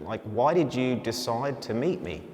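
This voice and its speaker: A man's voice: mid-range voice, animated, normal speed, a little mellow, close to an everyday voice. An actor playing a brusque, impatient male filmmaker who swears at his crew. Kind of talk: mockumentary film acting. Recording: echoey hall with faint noise.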